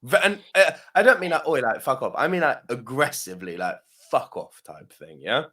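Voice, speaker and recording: Guy on a podcast, lively up and down voice, swears a lot, keeps laughing. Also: medium pitch, normal speed. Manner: swears freely, laughs often, lots of yeah-tags. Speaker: podcast co-host. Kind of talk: casual storytelling chat.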